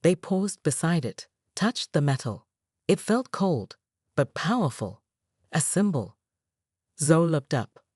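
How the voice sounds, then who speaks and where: A woman narrating a scripted story in a measured, dramatic storytelling manner. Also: low voice, sing-song, a little mellow, husky, no echo, studio quality.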